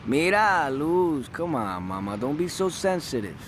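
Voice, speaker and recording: An actor playing a deferential gangster in a video game cutscene, with a casual, placating manner. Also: male voice, a little high, big pitch swings, measured pace, slight background noise. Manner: casual, placating, slips into Spanish.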